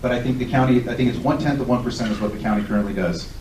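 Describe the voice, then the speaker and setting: A man's voice: medium pitch, racing, a little mellow. A man in his forties from Southern California, speaking as a candidate at a public forum in an earnest, self-assured way. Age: 40s. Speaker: political candidate. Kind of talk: candidate forum speech and answers. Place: large echoey hall at a public event. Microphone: recorded from a distance by a tablet. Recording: echoey hall, distant mic, faint noise.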